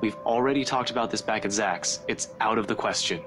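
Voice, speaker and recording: A man's voice: lowish voice, a little fast, noisy background, close to an everyday voice. A man playing a cool, determined young investigator in a visual novel game, speaking in a terse, composed, commanding way. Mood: firm, controlled. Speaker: video game voice actor. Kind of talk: dramatic visual novel dialogue.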